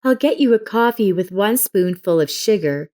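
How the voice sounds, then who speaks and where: A woman's voice: mid-range voice, animated, normal speed, warm tone, lightly acted. A woman voicing a smiling, deceitful wife in a story, sweet and placating as she speaks. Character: smooth, smiling deceitful wife welcoming her husband.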